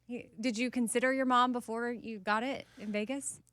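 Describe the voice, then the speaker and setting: Woman, late 30s, radio co-host, curious, higher pitch, dry mic. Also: fairly even intonation, moderate pace, fairly bright tone, no echo. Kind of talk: casual studio chat. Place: radio studio.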